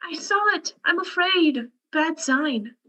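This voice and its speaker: A woman's voice: very high voice, expressive, slow pace, sharp tone, heavily acted, poor audio. A woman acting a frightened, superstitious young country girl in a radio play. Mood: frightened, insistent.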